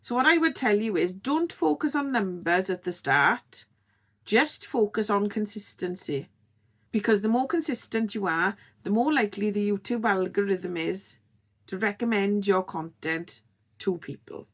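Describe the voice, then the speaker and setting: A middle-aged woman with a strong Welsh accent hosts her own channel and talks straight to the viewer. Her voice sits at an ordinary, mid pitch. She sounds encouraging and chatty, often checking in with a friendly "okay?". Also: animated, normal speed. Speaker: YouTube channel host. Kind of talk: direct-to-camera tips video.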